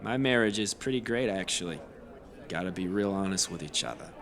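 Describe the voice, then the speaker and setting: An actor playing a gruff, plain-spoken tavern keeper in a fantasy audio drama. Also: male voice, low voice, animated, fairly quick, fairly bright tone. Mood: dry, frank. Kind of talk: audio fiction acting.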